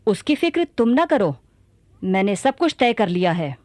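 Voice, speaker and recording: A woman's voice: medium pitch, very animated, moderate pace, darkish tone, no room sound, close to an everyday voice. A woman acting a bold, commanding young warrior sultana in a radio drama. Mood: resolute.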